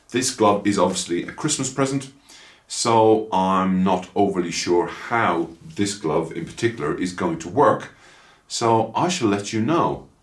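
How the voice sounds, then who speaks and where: A middle-aged Irish man with a low voice talks through a gear review in a relaxed, rambling way, dropping dry little asides. He records at his desk in a small room at home, and the sound carries a roomy echo. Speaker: motorcycle vlogger.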